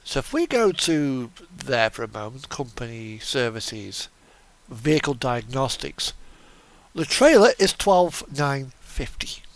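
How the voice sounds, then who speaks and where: A man's voice: mid-range voice, very animated, takes it slowly. A man giving casual live commentary as he streams a game, chatting and thinking aloud.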